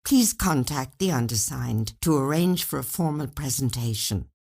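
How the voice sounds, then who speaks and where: A woman's voice: rumbling low, expressive, normal speed, vocal fry, a little mellow, breathy edge, heavily acted. A woman reading out a formal congratulatory letter from a male regional manager in a storybook, in a businesslike manner.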